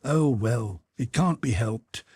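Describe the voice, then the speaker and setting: A man's voice: medium pitch, expressive, takes it slowly, warm tone, breathy edge, dry recording. A man voicing a good-natured young officer of eighteen in a spoken storybook, polite, well-bred and a bit hesitant. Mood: vexed. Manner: polite, hesitant and a little vexed.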